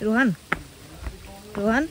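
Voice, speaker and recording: A woman's voice: on the high side, unhurried. Chatty woman vlogging in the rain. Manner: chatty, self-mocking, talks to camera. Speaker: travel vlogger. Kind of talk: casual travel vlog chat. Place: outdoors, rainy forest trail. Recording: outdoor phone audio with loud background noise.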